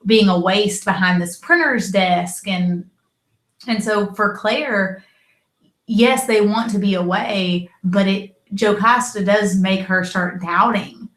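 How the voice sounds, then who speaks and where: A woman's voice: medium pitch, slow, fairly bright tone. Woman, Southern American accent, casual TV review chat, chatty, echoey room. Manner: chatty, acts out quotes, frequent "like" and "you know". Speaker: co-host of a TV review show.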